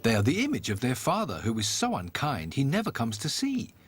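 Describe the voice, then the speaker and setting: A man's voice: medium pitch, huge pitch swings, brisk, husky. A man voicing a fussy, weary, proud mother duck in a storybook tale, fretful and exclaiming. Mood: proud, complaining. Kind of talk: storybook character voice.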